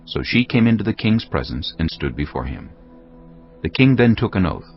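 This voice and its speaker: A male narrator with a low, deep voice reads scripture as drama, his intonation moving widely. Music plays clearly behind him and the recording is somewhat dull. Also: big pitch swings, moderate pace. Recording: dull lo-fi audio, music bed.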